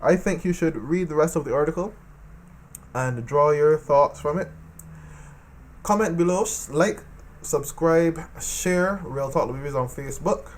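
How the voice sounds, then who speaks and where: A man from the Caribbean talking casually about politics in an animated monologue, repeating phrases for emphasis. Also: fairly high pitch, unhurried, slight background noise. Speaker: political commentator.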